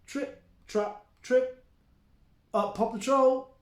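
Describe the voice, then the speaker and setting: A man reading a story to young children in an expressive, playful and deliberate storytelling style. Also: very high voice. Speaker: early years teacher reading a story. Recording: roomy, echoing indoor sound.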